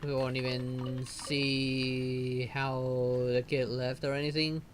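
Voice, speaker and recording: A woman's voice: rumbling low, flat delivery, slow, slight background noise. A woman giving casual, off-the-cuff commentary while she plays through a story-driven game. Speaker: streamer reacting to a game.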